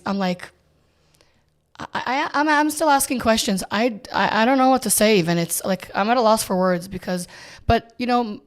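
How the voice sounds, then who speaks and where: A woman born in Israel and raised in the US, a realtor guest speaking earnestly in a podcast chat, often stopping and restarting. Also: mid-range voice. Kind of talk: casual chat.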